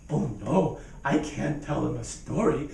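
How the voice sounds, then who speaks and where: Lady doing a nervous storybook wolf guy, halting and fretful, gravelly, echoey room far from the mic. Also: rumbling low, warm tone, pitched below the performer's own voice, full character voice. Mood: worried. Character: anxious wolf babysitter, storybook male.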